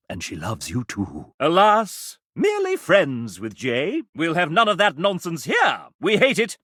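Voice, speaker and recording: An actor playing a flamboyant, theatrical game-show host in a dating video game, cheeky and gushing with an arch announcer delivery. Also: male voice, on the high side, a bit bright, slightly rough, no echo, studio quality, exaggerated voice. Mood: grandly complimentary. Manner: showy, arch announcer delivery with dramatic swoops.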